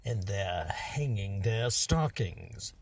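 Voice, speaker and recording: A man's voice: fairly low pitch, lively intonation, creaky, background noise, pitched below the performer's own voice, full character voice. A man voicing a sour, scheming old male monster in a storybook, snarling and growling slyly. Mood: sneering. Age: older. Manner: snarling, growling, slow and sly. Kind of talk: storybook character voice.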